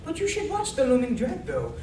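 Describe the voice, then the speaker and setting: A woman's voice: high voice, wildly swooping pitch, a little fast, darkish tone. A woman acting a scheming, gleeful mob boss with theatrical sly innuendo in a stage comedy. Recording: echoey hall, far mic, noisy.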